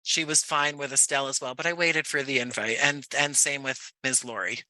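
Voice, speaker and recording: A man from Jersey City chats as an interview guest. His voice is extremely bright and sharp at a middling pitch, recorded very dry. The delivery is conversational and informal. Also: fairly even intonation, brisk, no echo. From Jersey City, United States. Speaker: podcast guest, audio playwright. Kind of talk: casual interview chat.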